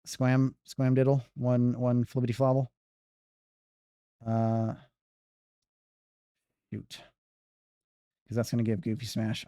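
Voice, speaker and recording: A man's voice: mid-range voice, mellow, smooth, dry recording, studio quality. A man giving casual gameplay commentary as a speedrun streamer, rambling and correcting himself. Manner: rambling, self-correcting banter.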